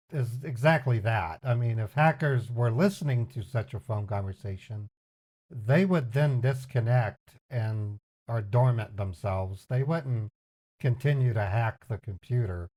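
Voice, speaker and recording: A man's voice: mid-range voice, a little mellow. A man streaming casual explanatory commentary in a dismissive, amused manner. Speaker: scambaiting streamer.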